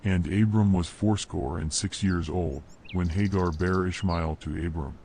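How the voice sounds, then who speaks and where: A man reads scripture as a narrator in a solemn, measured, formal manner. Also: low voice, fairly even intonation, darkish tone, slight background noise. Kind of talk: solemn scripted Bible reading. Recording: nature ambience behind voice. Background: birdsong and nature sounds.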